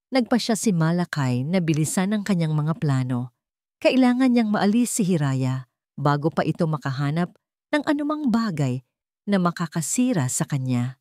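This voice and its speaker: A Filipino-accented woman reads a dramatic story as its narrator. Her voice sits on the low side for a woman. The recording is dry and close, with no room sound. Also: warm tone, no echo.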